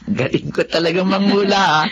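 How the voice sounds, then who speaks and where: A man's voice: high voice, moderate pace, fairly bright tone, slightly rough, full character voice. A man playing a chatty, breezy older caretaker in a Filipino radio drama, exclaiming and casually bossy. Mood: breezy, pushy. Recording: muffled, faintly noisy audio.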